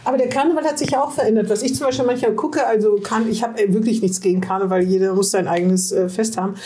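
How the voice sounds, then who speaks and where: A woman's voice: mid-range voice, steady tone, fast talker, crowd noise. A woman interviewing someone in a casual, chatty way, interjecting and often tagging her sentences with small questions.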